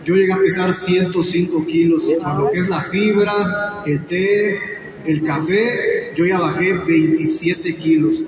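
A man's voice: high voice, a little slow. A man from Campeche cheerfully giving a testimonial to an audience. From Campeche, Mexico. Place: large hall. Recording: echoey hall from far off, crowd and noise.

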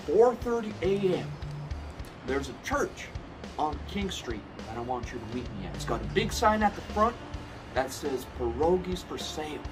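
A man's voice: on the high side, big pitch swings, music in the background. A man playing a chummy, shady, folksy schemer in an improvised soap comedy, repeating himself conspiratorially. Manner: deliberate, repetitive, conspiratorial buddy talk.

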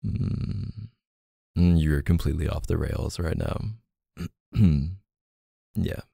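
Man voicing a playful doting boyfriend, ASMR roleplay, dark mellow soft voice, teasing murmurs, close dry sound. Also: dry recording, full character voice. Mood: teasing, bossy. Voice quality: low, gravelly, soft. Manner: teasing, murmuring, hesitant pauses and hums. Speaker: audio roleplay performer.

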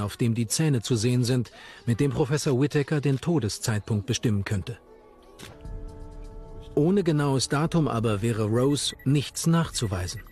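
A man's voice: mid-range voice, darkish tone. Male true crime documentary narrator.

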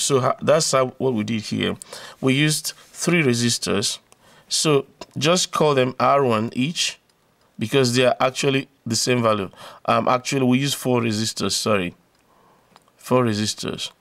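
Gravelly man explaining electronics step by step. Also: mid-range voice, moderate pace, a bit bright, no room sound. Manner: step-by-step, repeats phrases for emphasis. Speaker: electronics tutor. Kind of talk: informal explanatory tutorial, talking through a diagram.

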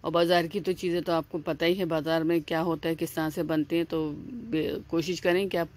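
A woman's voice: deep voice, flat delivery, a little fast, noisy background. A woman hosting a home cooking video, explaining each step in a chatty, repetitive way with frequent fillers and restarts. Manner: chatty, repeats herself, many "aa" fillers and restarts. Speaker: cooking channel host. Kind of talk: casual step-by-step cooking instructions.

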